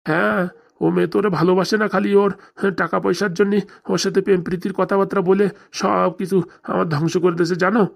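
Someone voicing a rapid-fire, fretful ageing father. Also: mid-range voice, level tone, vocal fry, natural voice. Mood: lamenting. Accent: Bengali, rural dialect. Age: middle-aged. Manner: lamenting, rambling complainer. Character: anxious, aggrieved father nearing retirement, fretting over lost money. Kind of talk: comedy cartoon dialogue.

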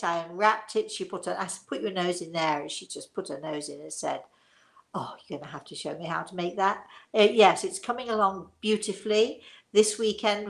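A woman in her sixties chatting casually as host of her own show, rambling and effusive as she drifts off on tangents. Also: mid-range voice. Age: 60s. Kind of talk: casual chat. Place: living room, indoors.

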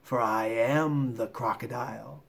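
A man voicing a sly male crocodile in a storybook, coaxing and menacing in a slow, hushed manner. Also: mid-range voice, fairly even intonation, gravelly. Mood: sly, luring.

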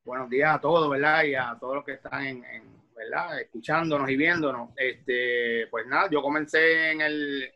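A middle-aged man with a Puerto Rican accent, reminiscing haltingly and repeating words, on dull video call audio. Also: medium pitch, fairly even intonation. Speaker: retired baseball player, interview guest.

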